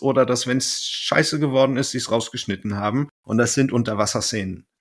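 A man hosts a casual podcast. His voice sits at a medium pitch. He rambles a little, thinking aloud and reworking his sentences as he goes. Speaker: podcast host.

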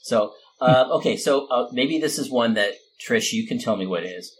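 A man's voice: medium pitch, animated, normal speed, low-quality recording. A man hosting a casual, conversational interview. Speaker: host. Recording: clear background noise, room ambience.

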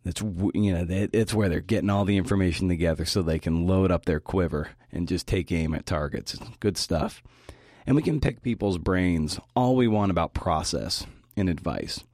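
A man with a low-pitched voice hosts a podcast talk. His delivery is prepared yet conversational, with folksy, reflective turns. The sound is dry and free of room. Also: normal speed, a little mellow, no echo. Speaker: podcast host giving a talk.

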